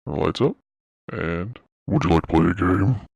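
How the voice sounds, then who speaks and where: Man doing a deep, gravelly, electronically lowered villain. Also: normal speed, a little mellow, dry recording, full character voice. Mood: ominous. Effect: pitch-lowering voice changer.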